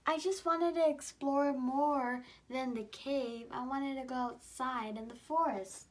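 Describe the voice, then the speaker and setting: Woman voicing a high-pitched bold little mouse explorer. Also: steady tone, measured pace. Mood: eager, insistent. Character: bold young female mouse explorer, defiant and restless.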